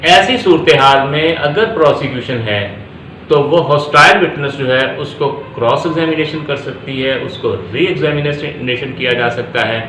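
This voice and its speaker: A man with a Pakistani accent giving an explanatory law lecture, deliberately repeating phrases for emphasis and switching into English terms. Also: a little high, fairly bright tone. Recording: echoey room with faint background noise.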